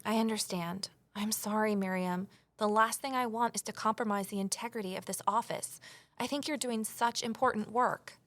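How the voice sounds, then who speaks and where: Female lead for audio drama, acted: earnest, polite but determined amateur sleuth, natural mid-pitched delivery, first-person narration. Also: fairly even intonation, moderate pace, fairly bright tone, no echo, close to an everyday voice. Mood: apologetic, earnest. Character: earnest, observant woman admin assistant turned amateur sleuth.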